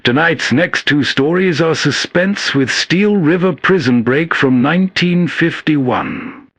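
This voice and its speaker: A man hosting with soft scripted narration meant for late-night listening. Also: a little high, takes it slowly, no room sound. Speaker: host narrator.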